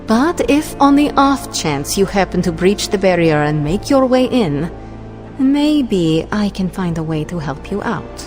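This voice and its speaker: An actress playing a sardonic, blunt, fiercely loyal superheroine in a video game cutscene, with a wry manner. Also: female voice, medium pitch, very animated, unhurried, darkish tone. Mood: determined, concerned. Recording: clear music behind voice.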